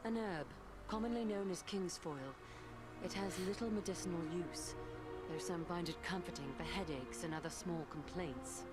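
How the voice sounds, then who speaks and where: An actress playing a weary, learned healer woman in a fantasy game, speaking in measured, formal phrases with a slightly tart edge. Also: fairly low pitch, loud music behind the voice, natural voice. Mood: measured, explanatory. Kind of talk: dramatic fantasy game acting.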